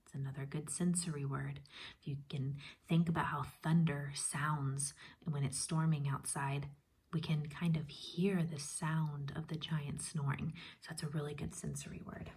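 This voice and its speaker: A woman teacher reading a children's story aloud expressively, pausing to ask questions and explain. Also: low voice, level tone, darkish tone, husky. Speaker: first grade teacher.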